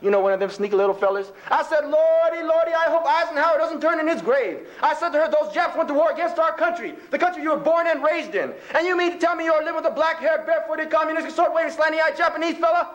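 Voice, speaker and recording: A man's voice: extremely high pitch, fast talker, hardly any pauses, a bit bright, voice pushed up. A man voicing a bigoted old rancher grandfather in a folksy drawl, reciting a dramatic monologue poem. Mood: outraged. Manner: folksy drawl, exclaiming, colloquial rural speech.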